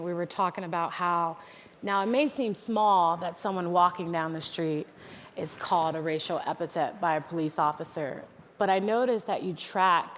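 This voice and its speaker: A woman moderating a live panel discussion, conversational and affirming, asking follow-up questions. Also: on the low side. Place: conference hall. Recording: hall reverb with faint noise.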